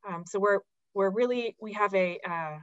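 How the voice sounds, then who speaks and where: A woman's voice: mid-range voice, moderate pace, a little hiss or hum. A woman in her forties introducing a guest speaker on a video call, with many fillers and restarts. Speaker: event host introducing the speaker. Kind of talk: webinar introduction. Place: home office on a video call. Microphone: laptop mic on a video call. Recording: dull, dry video call audio.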